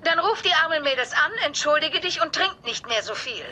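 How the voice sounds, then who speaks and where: A woman's voice: high-pitched, expressive, a little fast, bright, forceful tone. An actress dubbing a haughty, cold older mother in a German sitcom, curt and imperious. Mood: irritated, dismissive.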